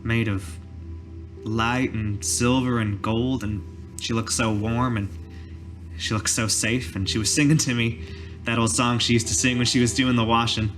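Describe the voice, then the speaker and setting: Man playing a halting, folksy village cobbler, music behind. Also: mid-range voice, fairly even intonation, a bit bright, lightly acted. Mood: tender, haunted. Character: weary male village cobbler recalling a massacre. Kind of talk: in-character tabletop roleplay monologue. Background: background music.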